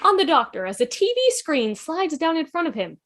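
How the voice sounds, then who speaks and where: A woman's voice: high voice, sing-song, fairly quick, music in the background. A woman narrating the stage directions of a script in a fan table read.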